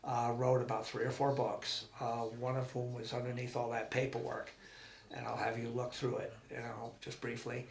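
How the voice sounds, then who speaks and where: A man in his sixties from Massachusetts, recalling his life in a halting, rambling oral-history interview at home. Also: medium pitch, monotone, vocal fry, roomy sound. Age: 60s. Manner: rambling, halting with many ums and restarts. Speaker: veteran interviewee.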